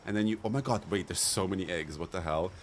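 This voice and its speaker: A man's voice: low-pitched, fast, slight background noise. A young man live-streaming a game, chatting casually in a campy, playful way and laughing often. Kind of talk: casual live-stream chat over gameplay.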